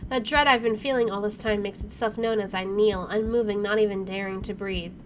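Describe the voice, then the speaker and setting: A woman's voice: medium pitch, fairly even intonation, brisk. A woman game streamer reading visual novel narration aloud, with her own asides. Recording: clearly noisy home recording.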